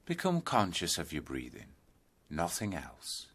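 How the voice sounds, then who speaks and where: A man leading a guided yoga meditation, giving deliberate instructions phrase by phrase with pauses between them. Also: sits low, huge pitch swings, fairly bright tone.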